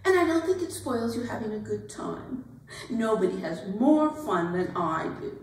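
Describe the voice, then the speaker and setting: A woman performs a young society debutante, giddy, earnest and a little self-important. Her voice is high and swoops about with lively, halting delivery, as in a staged reading. It rings with heavy echo, like a hall heard from some way off. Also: distant mic, slight background noise. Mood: earnest, confiding. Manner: gushing, halting, breathy exclamations and giggles. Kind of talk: theatrical dramatic monologue at a staged reading. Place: arts center performance space. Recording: echoey hall, picked up from afar.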